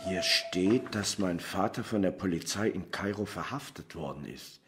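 An actor playing a weary, gruff middle-aged male detective who narrates his own story in a radio crime drama. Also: low-pitched, animated, moderate pace, fairly bright tone, no echo, exaggerated voice. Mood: agitated, disbelieving.

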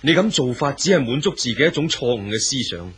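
An actor playing a frank, troubled lover in a 1970s radio drama, firm and reasoning, with a Hong Kong Cantonese accent. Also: male voice, mid-range voice, big pitch swings, brisk, fairly bright tone, slight background noise. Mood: firm, reproachful. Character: frank, troubled lover confronting a married man.

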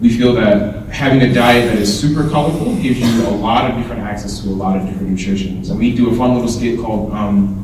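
A man's voice: lowish voice, fast talker, background noise. A young man, a student giving an earnest conference presentation on a handheld mic, explaining things with frequent ums. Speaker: student speaker. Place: large indoor hall with projector screen. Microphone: handheld mic, filmed from far. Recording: roomy hall echo, distant camera.

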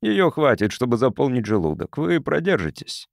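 A man's voice: medium pitch, big pitch swings, normal speed, creaky, dry recording, clean studio sound. A man voicing a smug, cold welfare investigator in an audiobook, officious and condescending. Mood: cold, dismissive. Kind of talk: audiobook character dialogue.

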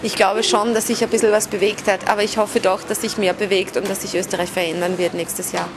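A woman's voice: mid-range voice, flat delivery, fairly quick, background noise. A woman in her thirties, an activist, speaking earnestly and with determination in an interview. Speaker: activist, house organizer. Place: crowded indoor hall. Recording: music behind. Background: music.

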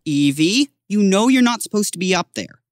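A woman as an earnest, expressive young boy. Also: mid-range voice, normal speed, no room sound, clean studio sound, close to an everyday voice. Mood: earnest, dry. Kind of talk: full-cast audiobook acting.

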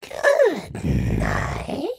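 A man playing a sinister moon-faced robot villain in a video game, slowly taunting in a low, gravelly, menacing sing-song. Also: wildly swooping pitch, exaggerated voice.

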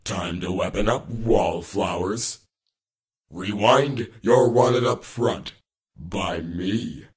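A man voicing an unhinged, battle-hungry robot soldier with a swaggering, sardonic manner. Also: fairly low pitch. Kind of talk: fan voice reel, character acting.

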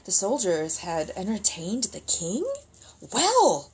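A woman's voice: mid-range voice, sing-song, slow, a little mellow, breathy edge, heavily acted. A woman voicing eager, simple village peasants in a storybook, full of wonder and exclamation. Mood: amazed.

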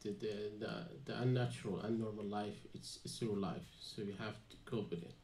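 A man's voice: fairly low pitch, steady tone, moderate pace, a little mellow, a little hiss or hum. Young Palestinian man, hesitant, echoey room. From Gaza, Palestine. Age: young adult. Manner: hesitant, many fillers and restarts. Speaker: panel guest, student. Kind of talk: personal account at a panel. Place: small dim venue with live audience.

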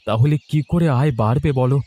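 A man's voice: mid-range voice, a little fast, mellow, lightly acted. A man voicing a worried, weary village husband and shrimp farmer in a fairy-tale cartoon.

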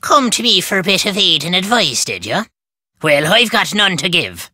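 An actress playing a gruff, suspicious countrywoman with an Irish accent and a curt, dismissive manner. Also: on the low side, big pitch swings, moderate pace, sharp tone, no room sound, clean studio sound, heavily acted. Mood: brusque, needling.

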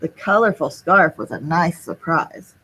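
Slow, husky woman reading to children. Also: deep voice, lively intonation, fairly bright tone, poor audio. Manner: explains the story in simple asides to children. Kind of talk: children's read-aloud. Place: small indoor room.

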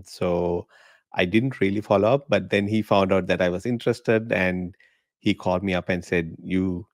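A middle-aged man from Sri Lanka, a guest on a podcast video call, talking in a relaxed, chatty way with frequent laughs. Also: sits low, no echo, clean studio sound. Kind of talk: casual interview chat. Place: home living room. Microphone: podcast mic in frame, video call.

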